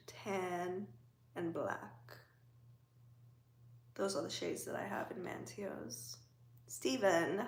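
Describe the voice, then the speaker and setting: Female livestream host, unhurried and teasing, a bit of vocal fry, roomy home sound. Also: medium pitch. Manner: chatty, teasing, talks to viewers' comments. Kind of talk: casual livestream chat.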